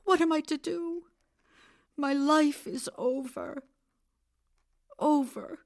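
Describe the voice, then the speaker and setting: An actress playing a grieving village widow who becomes a commanding healer, in an audio drama. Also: female voice, high-pitched, slow. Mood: despairing.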